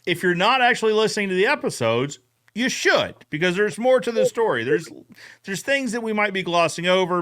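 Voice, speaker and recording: Male podcast host, slightly high voice, casual recap talk. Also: pushed and bright.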